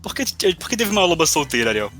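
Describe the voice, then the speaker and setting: A man's voice: fairly high pitch, sing-song, fast, fairly bright tone, faint music behind. A man with a Brazilian accent in casual podcast banter, teasing his co-host with mock questions. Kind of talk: casual chat looking back on a role-playing campaign.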